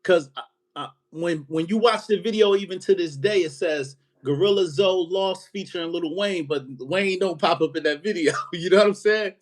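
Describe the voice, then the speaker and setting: High-voiced man hosting a podcast. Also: normal speed. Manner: relaxed, frequent "right" affirmations. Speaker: podcast host. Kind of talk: casual interview questions.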